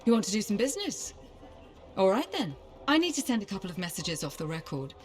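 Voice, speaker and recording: A woman's voice: mid-range voice, very animated, brisk, close to an everyday voice. Woman playing a shrewd, brash dealmaker. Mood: breezy, bantering. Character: shrewd, brash female bar-keeping dealmaker. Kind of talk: naturalistic video game acting.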